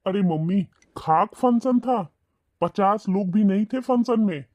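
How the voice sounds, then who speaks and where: A man's voice: high-pitched, measured pace, no echo, highs cut off. A man voicing a contemptuous, snobbish married son who sneers and complains in a cartoon family drama. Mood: scornful complaint. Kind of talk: animated family drama dialogue.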